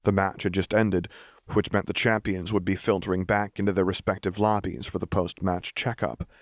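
A man's voice: low voice, flat delivery, normal speed, dry recording, clean studio sound. A man reading a story aloud as scripted audiobook narration. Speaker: audiobook reader.

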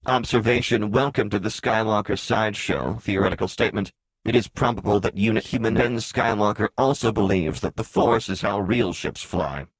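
A man's voice: on the low side, moderate pace, breathy edge, no echo, low-quality recording. A man voicing a smug, sardonic little droid in a story, in a clipped, formal, robotic way. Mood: dry, sardonic. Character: smug, sardonic little astromech droid, read as translated text.

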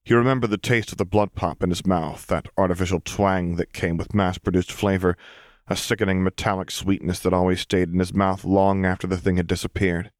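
A man reading scripted fiction as an audiobook narrator, with a measured, literary delivery. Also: sits low, steady tone, no room sound, studio quality. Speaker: audiobook reader.

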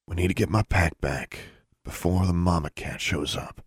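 A commanding teenage wizard boy, voiced by a man narrating an audiobook. He speaks tersely and keeps things low-key, brusque on the surface with care underneath. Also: lively intonation, normal speed, dry recording, studio quality. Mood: low, planning. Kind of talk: audiobook character acting.

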